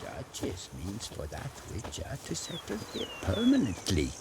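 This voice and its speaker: A man's voice: medium pitch, wildly swooping pitch, normal speed. An actor playing a gossiping local man in background game chatter. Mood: gossipy. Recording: clear outdoor ambient noise.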